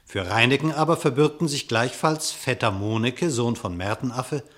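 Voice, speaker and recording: A man narrating a classic radio play in literary verse, telling the story in a measured, formal way. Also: medium pitch, fairly bright tone.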